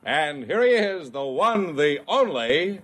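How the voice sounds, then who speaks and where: Booming 1950s radio announcer. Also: male voice, big pitch swings, slow pace, over loud music. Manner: formal, declaiming. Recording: old 1950s radio broadcast.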